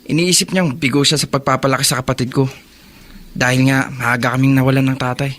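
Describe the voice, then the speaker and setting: A man's voice: medium pitch, steady tone, a little fast, a bit bright, lightly acted. An actor playing a stern, worried young man, the responsible older brother, in earnest radio drama style. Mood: troubled, earnest. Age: young adult. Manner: scolding and earnest. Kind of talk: Filipino radio drama acting.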